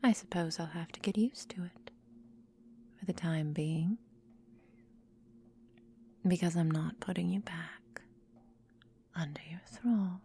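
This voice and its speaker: A woman playing a possessive, cruel, seductive vampire mistress in a breathy whispered ASMR roleplay, with slow, teasing, menacing phrasing. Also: on the low side, warm tone, slight background noise.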